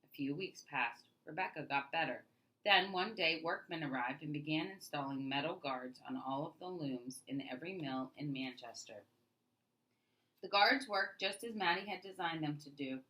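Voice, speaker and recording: A woman narrates a children's storybook aloud in an expressive way. Her voice sits low for a woman but is bright and forceful. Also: normal speed, poor audio. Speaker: storybook reader.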